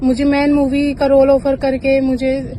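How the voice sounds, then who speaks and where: High-voiced woman, accusing and insistent, heard over a phone call. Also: monotone, a little slow, warm tone, slight background noise. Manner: insistent, accusing, repeats her points. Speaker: party in a leaked phone call. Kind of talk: heated phone argument.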